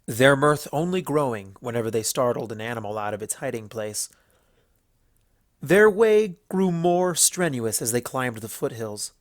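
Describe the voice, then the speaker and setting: A man's voice: medium pitch, no echo, studio quality. A man giving a scripted storybook narration as the narrator.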